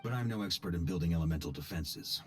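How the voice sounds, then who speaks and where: An actor playing a charming, self-deprecating young adventurer in a fantasy game, talking in a casual, wry way. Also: male voice, low voice, animated, fast talker. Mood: uneasy.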